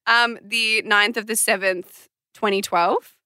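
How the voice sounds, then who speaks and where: A young Australian woman chatting casually as a podcast co-host, playful and expressive. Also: medium pitch, slow pace, clean tone, no room sound, clean studio sound. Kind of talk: casual chat.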